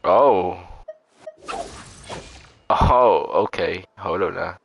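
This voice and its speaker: A man's voice: sits low, very slow, vocal fry, dull audio, a little hiss or hum. A man streaming a game live, chatting casually with slangy, profane, playful reactions. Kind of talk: casual livestream chat over gameplay.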